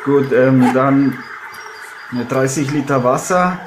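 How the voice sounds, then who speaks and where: A man's voice: mid-range voice, takes it slowly, close to an everyday voice. Eager bro hunter played by a man, roomy sound. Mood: casual, organizing. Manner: slangy bro talk with English words, hesitant fillers. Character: eager male bro driver on a monster-hunting trip. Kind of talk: amateur German horror audio play, improvised dialogue. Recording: echoey with vehicle-like background noise.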